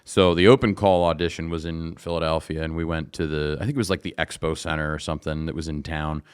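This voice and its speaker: Male interview guest, low and smooth, easygoing conversational delivery, close dry sound. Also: steady tone, quick pace, no echo. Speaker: interview guest, singer and musician. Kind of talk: casual storytelling in an interview.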